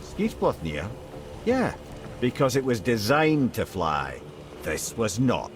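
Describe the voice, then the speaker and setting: An actor voicing a wry, worldly-wise storyteller companion in a video game, with a Scottish accent and an eloquent, sardonic manner. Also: male voice, fairly low pitch, wildly swooping pitch, takes it slowly, noisy background, heavily acted. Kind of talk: dramatic video game acting.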